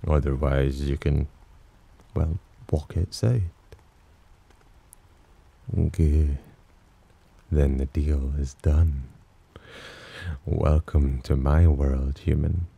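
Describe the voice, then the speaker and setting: A man playing a sly, possessive demon in an ASMR roleplay, speaking in a smug, teasing, softly commanding manner with a husky voice. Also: steady tone, very slow, pauses often, dull audio, exaggerated voice. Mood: solemn, possessive. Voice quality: very deep, dark, husky. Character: possessive, amused, sly male demon protector. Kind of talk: ASMR roleplay, slow intimate acting.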